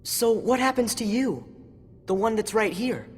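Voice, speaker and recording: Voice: mid-range voice, animated, measured pace, husky. An actor playing an earnest, thoughtful young teenage boy hero in a video game, speaking sincerely. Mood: concerned. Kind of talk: video game cutscene acting. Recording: long reverb with music.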